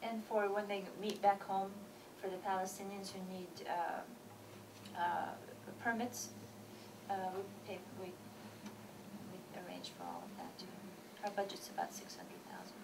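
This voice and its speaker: A woman's voice: medium pitch, lively intonation, measured pace. A woman presenting a peace program at a conference, explaining with some hesitation. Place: church conference hall. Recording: distant, noisy conference recording.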